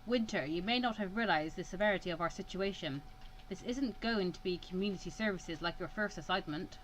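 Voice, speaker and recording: A woman's voice: lowish voice, a little fast, natural voice, rough recording. Woman acting out a stern, impatient male mentor. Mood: grave. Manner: scolding, clipped, serious. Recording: clear background noise.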